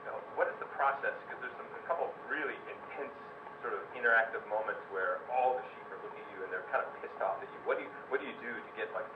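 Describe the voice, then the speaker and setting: A man's voice: on the low side, racing, background noise. Rambling male moderator in a hall. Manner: enthusiastic, rambling questions with many restarts. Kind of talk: hosting a post-screening Q&A. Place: cinema auditorium. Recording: echoey hall, distant mic, dull sound.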